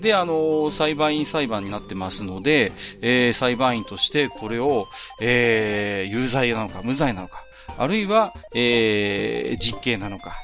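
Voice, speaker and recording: Man podcasting over clearly audible music. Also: medium pitch, a bit bright. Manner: polite, conversational explaining. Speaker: podcast host. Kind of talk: casual explanatory podcast monologue about TV. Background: music under the voice.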